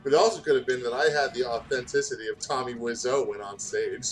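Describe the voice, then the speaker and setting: A man's voice: mid-range voice, fast talker, poor audio. Man reading aloud over music. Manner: informal, sweary, breaks off to riff. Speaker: gaming channel host reading fan fiction aloud. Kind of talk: jokey read-aloud with casual chat. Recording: music playing behind the voice.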